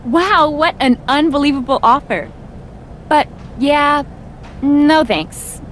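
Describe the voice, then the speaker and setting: Dismissive female wrestler, sarcastic, high pitch, slow delivery, game cutscene, background noise. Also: a bit bright, rough recording. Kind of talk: video game cutscene acting.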